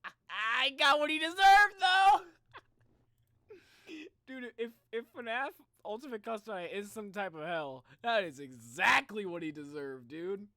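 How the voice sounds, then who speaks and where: Young guy streamer reacting live, super bright forceful voice, close dry mic, slangy n excitable. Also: sits high, measured pace, no room sound. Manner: excitable, slangy, thinks aloud. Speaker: streamer reacting to game videos.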